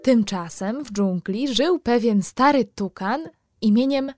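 Female children's storyteller, slightly high voice, unhurried, playful, addressing kids directly, faint music underneath. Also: darkish tone, no room sound, clean studio sound. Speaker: host and storyteller of a children's programme.

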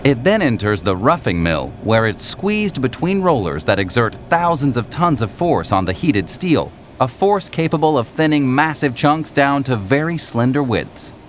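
A man reading scripted documentary narration in a measured, explanatory manner. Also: medium pitch, big pitch swings, slight background noise.

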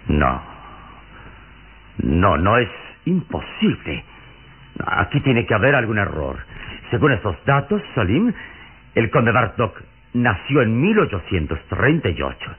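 Heroic investigator, husky, slow, thoughtful, wide dramatic pitch swings, old radio drama. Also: male voice, heavily acted. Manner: deliberate, thoughtful. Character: heroic male adventurer, calm, astute investigator. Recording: dull lo-fi old radio recording.

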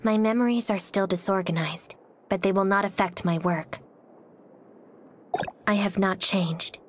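A woman voicing a cold, reserved, melancholy young swordswoman for a video game, speaking softly and breathily in a quiet, detached, formal manner. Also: mid-range voice, fairly even intonation, unhurried, lightly acted. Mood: cool, detached. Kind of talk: scripted game character voice lines.

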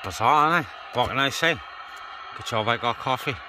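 A man's voice: mid-range voice, expressive, moderate pace. A man chatting casually and colloquially to a handheld camera outdoors, as a CB radio hobbyist making a vlog. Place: outdoors on open, breezy moorland beside a car. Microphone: handheld phone or camera, operator behind it. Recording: noisy outdoor recording, vehicle and ambient noise.

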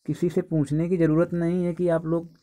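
Dark-toned, higher-pitched man teaching. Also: flat delivery, fairly quick. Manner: instructive, repeatedly addressing listeners as friends. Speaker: exam coaching teacher. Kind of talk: informal exam guidance to students.

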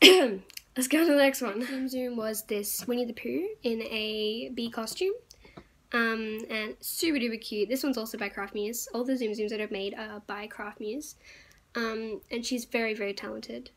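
A woman's voice: fairly high pitch, normal speed, fairly bright tone. A young woman losing her voice, chatting casually and rambling as she shows off her crafts to viewers. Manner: chatty, rambling, lots of fillers and little laughs. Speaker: crafting YouTuber. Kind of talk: casual show-and-tell vlog.

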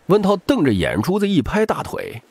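A man's voice: fairly high pitch, huge pitch swings, moderate pace, a little mellow, a little hiss or hum. A man reading scripted, dramatic narration as an audiobook narrator.

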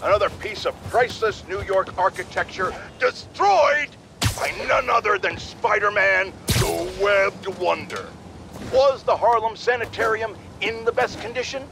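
An actor playing a blustering, indignant older media pundit in a video game, delivering a pompous, emphatic and theatrical editorial rant. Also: male voice, high voice, vocal fry, noisy background. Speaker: talk show commentator.

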